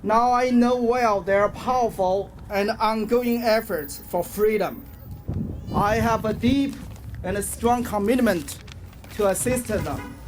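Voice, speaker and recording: A man from China with a Chinese accent, giving a solemn, formal prepared speech as an activist at an outdoor rally. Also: high-pitched. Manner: solemn, formal, deliberate phrasing. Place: outdoors in front of a public building. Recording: faint outdoor ambient noise. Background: faint ambience.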